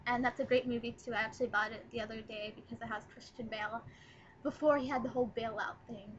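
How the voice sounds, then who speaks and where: A woman's voice: on the high side, steady tone, quick pace, lo-fi sound, a little hiss or hum. A nineteen-year-old woman chatting in a rambling, casual first video blog, recorded on her laptop's webcam mic. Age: 19, young adult. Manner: chatty, rambling, lots of ums. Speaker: vlogger.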